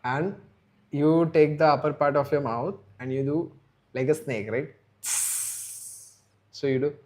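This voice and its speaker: A man's voice: a little high, fairly even intonation, a little slow, darkish tone, lo-fi sound, a little hiss or hum. A man, chatting casually on a live stream, rambling and answering viewers' chat. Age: 30s. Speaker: livestream host.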